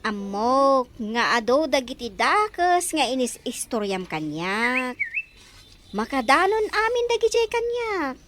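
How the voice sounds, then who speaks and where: High-voiced, frank village friend. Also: female voice, very animated, takes it slowly, a bit bright, slight background noise, full character voice. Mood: concerned, frank. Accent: Filipino. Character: frank, forgiving young village woman, Divina, a friend. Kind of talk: Ilocano radio drama acting.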